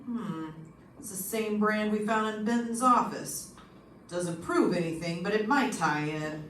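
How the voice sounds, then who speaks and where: An actor playing a brisk, matter-of-fact police lieutenant in an old-time radio detective drama. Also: medium pitch, lively intonation, a bit bright. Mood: investigating. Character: businesslike police homicide lieutenant. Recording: echoey, distant, noisy room.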